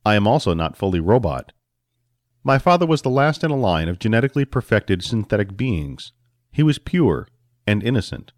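A man voicing a calm, composed male senator who is part robot. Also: fairly low pitch, no echo, studio quality. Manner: calm, measured.

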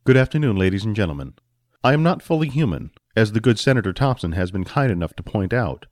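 A man voicing a calm, composed male senator who is part robot. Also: fairly low pitch, dry recording, clean studio sound. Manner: calm, measured.

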